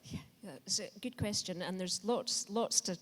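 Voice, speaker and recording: A woman's voice: mid-range voice, moderate pace. A woman from Scotland answering on a conference panel, enthusiastic and often restarting her phrases. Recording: reverberant hall.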